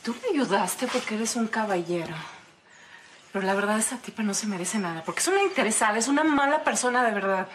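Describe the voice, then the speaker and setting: An actress playing a haughty, poised rancher's sister in a telenovela drama. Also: female voice, medium pitch, expressive, fast, a little hiss or hum, natural voice. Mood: scornful, self-assured. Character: haughty, scornful rancher's sister, sweet to her boyfriend. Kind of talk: telenovela acting.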